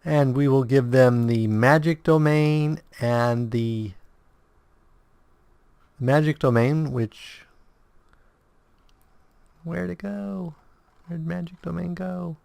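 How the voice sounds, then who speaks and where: A man with a sore throat and strained voice hosting a casual game walkthrough, explaining choices in a halting way and correcting himself. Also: a little high, fairly even intonation, gravelly, a little mellow, clear, resonant tone, dry recording. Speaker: video game guide host.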